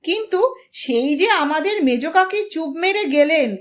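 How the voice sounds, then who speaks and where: Slow, high-pitched woman storyteller. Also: animated. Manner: dramatic, deliberate storytelling. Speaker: audiobook narrator. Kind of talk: expressive scripted story narration.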